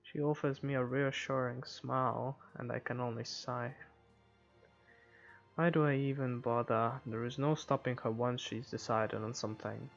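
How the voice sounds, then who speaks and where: Gamer reading a visual novel out loud, medium pitch, trips on words a bit, music behind. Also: steady tone, moderate pace, gravelly. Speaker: video game playthrough narrator. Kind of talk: reading visual novel narration aloud with offhand comments. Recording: muffled recording with music behind. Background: game music.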